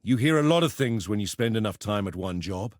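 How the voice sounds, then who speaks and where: A man's voice: on the low side, lively intonation, a little fast, no room sound, natural voice. A male actor plays a roguish pit fighter from a video game, swaggering and slangy. He talks like a theatrical, cheeky schemer with a tough edge. The recording is dry and close. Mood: wry, bargaining. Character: roguish adult male arena fighter and pitmaster turned syndicate member. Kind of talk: video game character voice lines.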